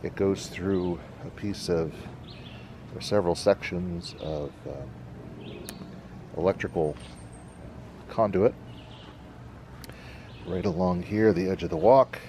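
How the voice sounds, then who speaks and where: A middle-aged man with a low, deep voice explains things casually as a channel host. His delivery is halting and unhurried. He is outdoors in a yard, with clear ambient noise around his voice. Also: sing-song, warm tone. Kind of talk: casual explanatory vlog talk. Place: outdoors in a leafy backyard.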